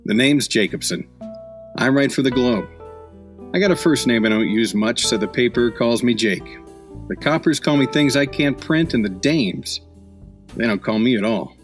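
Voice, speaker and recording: A hard-boiled crime reporter from a noir radio play, acted by a man, with a mid-pitched voice over faint music. He is cynical and wry, firing off clipped tough-guy slang like a seasoned newsman who has seen it all. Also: animated, normal speed, natural voice. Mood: wry, world-weary.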